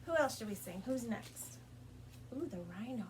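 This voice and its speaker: A woman's voice: fairly high pitch, lively intonation, normal speed, slight background noise. A woman leading storytime as a children's librarian, reading and singing along in a sing-song, coaxing way as if to small children, with questions for them.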